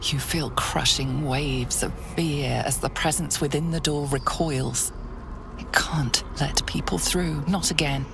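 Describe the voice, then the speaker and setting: A woman narrating a dark fantasy game in a measured, hushed, grave style of scripted storytelling. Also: low-pitched, steady tone, vocal fry, over music, heavily acted. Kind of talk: scripted second-person narration.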